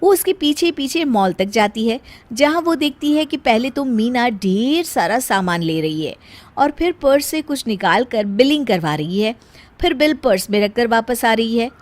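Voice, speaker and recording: A woman's voice: fairly high pitch, animated, normal speed. A woman storyteller reading scripted narration for a story.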